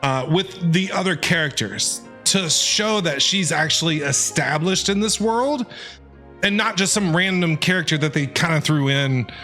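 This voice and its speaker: Male streamer, forceful bright tone, casual, enthusiastic, game reaction, soft background music. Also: on the high side, a little slow. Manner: enthusiastic, repetitive exclamations. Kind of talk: casual live game commentary.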